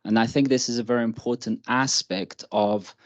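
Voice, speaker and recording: A man from Bosnia and Herzegovina, a company CEO, answering on a panel in a polite, measured way. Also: mid-range voice, steady tone. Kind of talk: panel discussion. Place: event venue.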